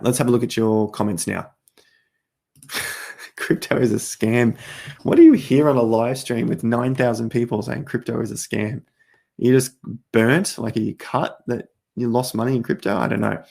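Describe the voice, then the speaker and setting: A man hosting a casual crypto live stream, walking through charts while hoarse from losing his voice, clearing his throat often. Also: medium pitch, a little mellow. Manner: throat clearing, rambling explanations.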